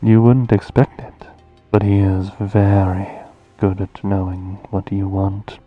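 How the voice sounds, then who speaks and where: A man giving casual, matter-of-fact commentary on a game in an unhurried way. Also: low-pitched, little pitch movement, phone-quality sound. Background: music.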